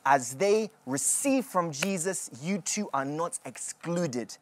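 A woman hosting a televised prayer session, preaching with measured emphasis and interviewing callers. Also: fairly low pitch, animated. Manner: measured, emphatic, slow sermon-like delivery. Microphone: studio microphone.

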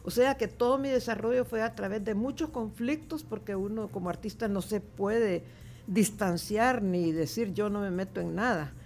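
Gravelly older Salvadoran woman. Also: mid-range voice, moderate pace, a little mellow. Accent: Salvadoran Spanish. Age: 70s. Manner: reflective, chatty, laughs easily. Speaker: guest artist. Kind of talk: casual interview chat. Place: studio.

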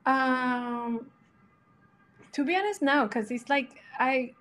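A woman's voice: sits high, takes it slowly, a little hiss or hum. Encouraging woman. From Latin America. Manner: warm, earnest, searching for words. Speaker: podcast guest, interior designer. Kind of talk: casual chat, encouraging advice.

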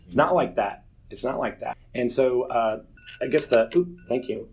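A man's voice: mid-range voice, expressive, normal speed, darkish tone, low-quality recording. A man in his forties giving an earnest, candid personal testimony to a church group, telling stories with self-deprecating humor. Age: 40s.

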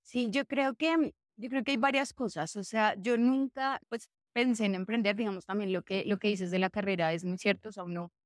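A woman's voice: mid-range voice, fairly even intonation, normal speed, few pauses, dry recording, clean studio sound. A young woman from Colombia, chatting in an interview and filling her talk with lots of small fillers. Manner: chatty, full of fillers like "o sea" and "pues". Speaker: guest, café co-founder. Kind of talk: casual conversational interview.

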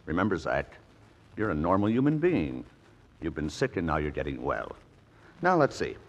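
An actor playing a reassuring army doctor in 1940s radio style, encouraging and authoritative. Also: male voice, very deep voice, wildly swooping pitch, moderate pace, dull audio, heavily acted.

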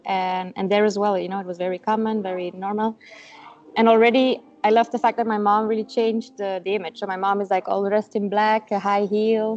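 A woman's voice: mid-range voice, darkish tone, lo-fi sound. A Belgian woman whose first language is Dutch, chatting casually as a guest over a video call, with lots of fillers and laughs.

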